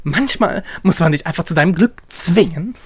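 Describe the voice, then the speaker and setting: A man's voice: high-pitched, animated, moderate pace, husky, no echo, pitched above the performer's own voice. A man voicing a carefree, silly young male vampire in a story, chatty, exclamatory and a little whiny. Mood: relieved, chatty.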